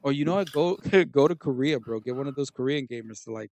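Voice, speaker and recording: A man's voice: medium pitch, animated, fairly quick, darkish tone, dry recording. A man hosting a casual gaming podcast, teasing his guest and laughing often. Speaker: podcast host. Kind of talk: casual chat.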